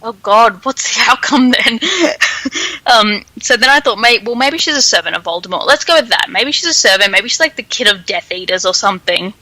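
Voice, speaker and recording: A woman podcast host chatting casually and speculating in an animated, giggly way. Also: on the high side, brisk, dry recording. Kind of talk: casual chat, theorizing about a story.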